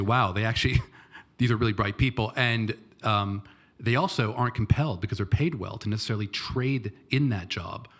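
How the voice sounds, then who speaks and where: A man in the audience asking a long, measured question at a talk. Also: fairly low pitch.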